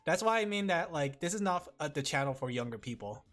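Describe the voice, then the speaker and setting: A man's voice: fairly high pitch, very animated, a little fast, a bit bright. A man streaming and chatting casually with his viewers, rambling with lots of fillers and frequent giggles.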